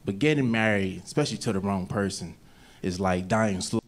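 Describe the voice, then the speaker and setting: A man's voice: on the low side, brisk. A man with an American accent telling stand-up stories into a stage mic. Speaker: stand-up comedian. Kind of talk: stand-up storytelling. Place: indoor comedy club stage. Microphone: handheld stage mic. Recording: noisy live club recording, played back.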